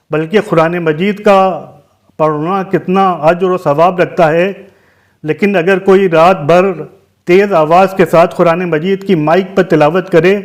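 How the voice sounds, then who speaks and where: Male cleric, high-pitched, Lucknow Urdu accent; deliberate, emphatic religious guidance with repeated phrases and synonyms. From Lucknow, Uttar Pradesh, India.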